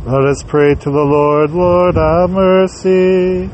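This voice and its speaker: A man leading a church service as priest, chanting prayers in a slow, drawn-out way and then reciting the gospel more briskly. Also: sits high, flat delivery, darkish tone, dull audio, slight background noise. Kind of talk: chanted liturgy and gospel reading.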